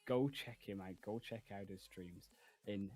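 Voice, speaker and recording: A man's voice: lowish voice, unhurried, darkish tone. A man casting esports, analyzing teams fluently and confidently and closing the broadcast. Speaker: esports caster.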